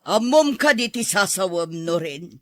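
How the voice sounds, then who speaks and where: A woman's voice: fairly low pitch, lively intonation, measured pace, a bit bright, no room sound, studio quality. An actress playing a haughty, stingy middle-aged rich mistress and stern mother in a radio drama, scornful and imperious. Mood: stern, scolding. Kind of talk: Ilocano radio drama acting.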